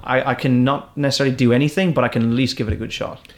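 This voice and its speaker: A man's voice: medium pitch, fairly even intonation, racing. A man with an Irish accent, a stand-up comedian chatting casually and rambling through his stories with restarts. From Ireland. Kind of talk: casual chat.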